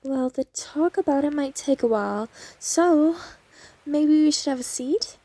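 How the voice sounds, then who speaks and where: A woman playing an obsessive female vampire lab technician in an ASMR roleplay, coy, softly teasing and eerily polite as she explains herself to the listener. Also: high-pitched, a little slow, no room sound. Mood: chatty, polite.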